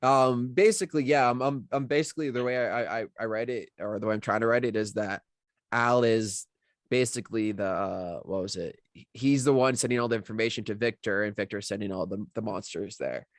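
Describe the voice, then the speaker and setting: A man speaking as a scriptwriter in a casual online discussion, explaining his work haltingly and repeating words as he goes. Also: lowish voice, dry recording, clean studio sound. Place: online video call.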